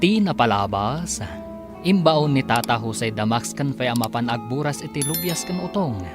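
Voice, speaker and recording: A man's voice: mid-range voice, expressive, moderate pace. A man working as announcer, reading scripted narration for a radio drama serial. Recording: music bed behind the voice.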